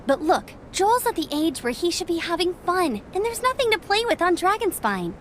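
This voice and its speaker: A woman's voice: extremely high pitch, very animated, normal speed, a little hiss or hum. A woman playing a perky, friendly and eager young female adventurer in a video game. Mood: friendly explaining.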